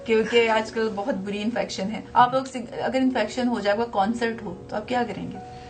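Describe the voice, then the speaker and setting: A hoarse-voiced woman hosts a live morning TV show from a studio, chatty and quick to laugh. Her voice sits a little high, and English words slip into her easy, friendly talk. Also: faint music behind, low-quality recording. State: hoarse from a throat infection. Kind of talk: live TV interview chat.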